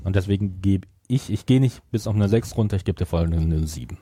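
A man co-hosts a podcast in casual review talk. His lowish voice is mellow and husky, captured dry and close to the mic. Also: brisk. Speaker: podcast co-host.

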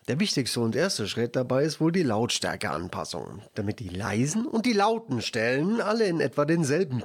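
A man presenting an explanatory how-to report for community radio. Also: medium pitch.

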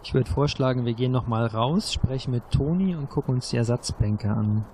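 A man's voice: mid-range voice, brisk, mellow, a little hiss or hum. A man playing as a contestant, politely making suggestions in casual game discussion.

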